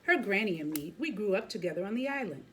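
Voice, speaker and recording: A woman's voice: mid-range voice, expressive, quick pace, a little hiss or hum, natural voice. A woman voicing a wise, encouraging grandmother from Trinidad in a folksy, affectionate, assured manner for a storybook. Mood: warm, assured.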